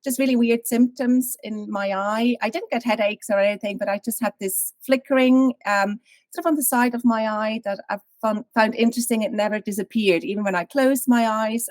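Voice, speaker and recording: A woman's voice: fairly high pitch, normal speed, no echo. Woman from Switzerland telling her story. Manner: candid, animated storytelling with frequent laughs. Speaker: guest. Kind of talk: interview, personal story.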